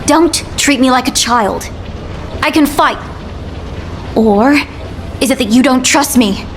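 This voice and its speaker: A woman's voice: sits high, takes it slowly, slight background noise. A young actress playing a determined young female fighter in a game cutscene, speaking in an insistent, resolute way. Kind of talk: video game cutscene acting.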